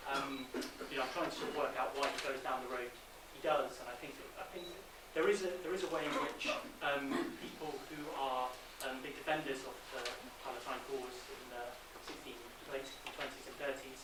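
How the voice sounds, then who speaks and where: A man giving an informal, halting academic answer at a conference Q&A, full of asides. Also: mid-range voice, fairly even intonation. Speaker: academic panel speaker. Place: conference room, indoors. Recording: very echoey noisy room, distant mic.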